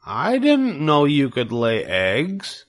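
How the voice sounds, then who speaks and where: A man's voice: fairly high pitch, wildly swooping pitch, slow, pitched above the performer's own voice, exaggerated voice. A man voicing a naive, eager young pig in a storybook, pleading and earnest. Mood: curious, amazed.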